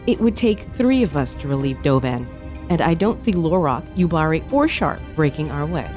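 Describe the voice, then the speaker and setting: A woman acting as a stern starship commander speaks in a lowish, mellow voice with lively intonation. She is clipped and distrustful, a by-the-book officer in a sci-fi audio drama. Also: normal speed, faint music behind. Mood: stern, dismissive. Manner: clipped, formal, curt. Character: stern, rule-bound female commander, coldly dutiful and distrustful.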